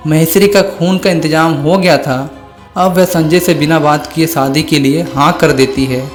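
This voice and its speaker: Male storyteller for scripted horror narration, slightly high pitch, soft music bed. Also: fairly even intonation, moderate pace.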